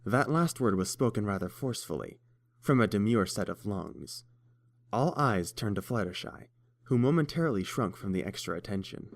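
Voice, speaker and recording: A man's voice: on the low side, animated, normal speed, no room sound, clean studio sound. A man reading scripted story narration as the narrator.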